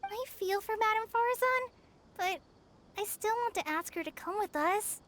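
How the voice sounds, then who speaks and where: A woman's voice: extremely high pitch, animated, normal speed, a bit bright, a little hiss or hum. A woman voicing a timid, anxious, sweet teenage girl apprentice in a video game, speaking hesitantly and earnestly. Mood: worried. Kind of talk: video game character voice acting.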